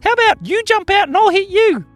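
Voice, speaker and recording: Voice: extremely high pitch, expressive, fairly quick, dry recording, voice pushed up. A storyteller voicing a playful, mischievous male tiger in a children's story, exclamatory, gleeful and bossy.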